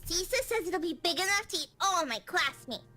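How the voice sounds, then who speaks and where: A man voicing a curious, excitable little girl who rushes her questions, with faint game music behind. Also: very high-pitched, pitched above the performer's own voice, full character voice. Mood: excited. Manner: chirpy, breathless, rushing questions.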